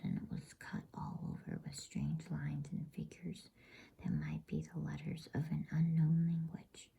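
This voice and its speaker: A woman reads a storybook aloud as ASMR in a hushed, unhurried, breathy whisper meant to soothe. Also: low-pitched. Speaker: reader of bedtime stories.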